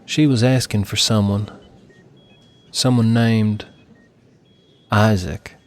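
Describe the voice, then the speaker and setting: Audiobook role: man playing a silver-haired country woman, folksy and God-fearing, voice lifted higher. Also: fairly even intonation, takes it slowly. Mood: uneasy. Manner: folksy, rustic speech.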